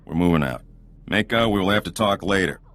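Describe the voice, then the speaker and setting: Deep-voiced, expressive agent. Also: male voice, a little fast. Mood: brisk, concerned. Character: brisk male intelligence agent, familiar and concerned. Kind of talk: video game voice acting.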